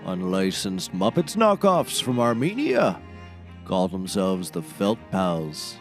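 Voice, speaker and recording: A man's voice: low voice, huge pitch swings, slow, faint music behind, exaggerated voice. An actor playing a matter-of-fact, salesmanlike male sidekick, a shady ex-mercenary, in a scripted comedy. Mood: matter-of-fact reminiscing. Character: gruff male sidekick, shady ex-mercenary turned product pitchman. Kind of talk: scripted comedy audio drama.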